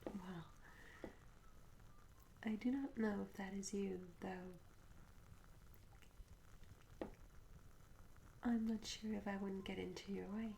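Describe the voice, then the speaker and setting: A woman voicing a shy, curious young medieval tavern maid in a soft-spoken, half-whispered ASMR roleplay, gentle and hesitant with formal old-fashioned wording. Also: mid-range voice, steady tone, moderate pace, creaky, slight background noise, lightly acted, low-quality recording. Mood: wistful. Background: tavern ambience sounds.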